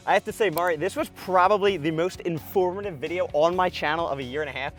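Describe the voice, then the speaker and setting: A man's voice: high voice, fast talker, soft background music. A man hosting his pickleball channel, eagerly asking questions on an outdoor court. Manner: eager, enthusiastic. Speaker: channel host. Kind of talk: casual lesson chat.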